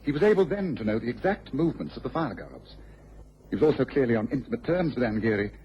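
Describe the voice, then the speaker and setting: An actor playing an incisive, commanding gentleman detective in a radio drama, speaking with crisp authority. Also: mid-range voice, very animated, fast talker, lightly acted. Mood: satisfied. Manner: assured, crisp, authoritative deductions. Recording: dull, lo-fi old radio recording with faint hiss.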